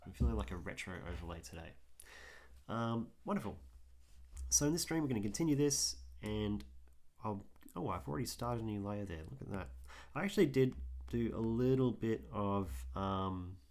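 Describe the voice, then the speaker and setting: A hoarse man, chatting casually and thinking aloud while he draws on a livestream, with lots of ums. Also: lowish voice, expressive, normal speed, darkish tone. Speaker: artist streaming his drawing.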